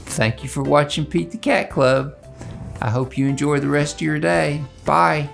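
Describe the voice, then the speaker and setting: A man's voice: fairly high pitch, normal speed, creaky, echoey room, soft background music. A man hosting a drawing show, chatting in a self-deprecating way while he draws.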